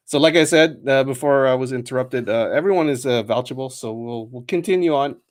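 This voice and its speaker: A man hosting a trading card break stream, chatting casually with many fillers and quick laughs, and reading out a long list of names. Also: medium pitch. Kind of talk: casual livestream chat.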